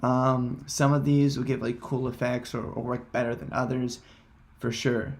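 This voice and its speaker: A man presenting a casual software tutorial in hesitant, halting, unscripted phrasing. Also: mid-range voice, little pitch movement, creaky.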